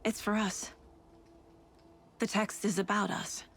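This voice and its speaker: A woman acting an intuitive, composed FBI agent in a video game, speaking in an understated, deliberate way with clipped phrases. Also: mid-range voice, level tone, vocal fry, fairly bright tone, slight background noise. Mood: focused, intrigued. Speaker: lead detective character.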